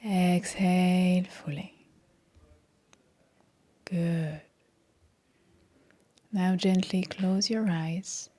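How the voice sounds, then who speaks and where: Soft, very slow-speaking woman guiding meditation. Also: on the low side. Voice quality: soft, breathy. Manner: calm, gentle, soothing. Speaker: meditation guide, yoga teacher. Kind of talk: guided meditation, soft-spoken instruction.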